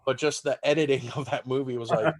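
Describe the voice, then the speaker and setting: A man's voice: mid-range voice, fairly even intonation, fast. A man in his thirties from Texas, whose first language is German, talking in a rambling, casual way as a comedian guest on a podcast, swearing freely.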